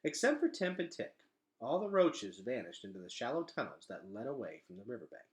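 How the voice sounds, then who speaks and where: A man reading a children's novel aloud in an expressive, dramatic storytelling style. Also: medium pitch, fairly quick. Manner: animated, dramatic delivery.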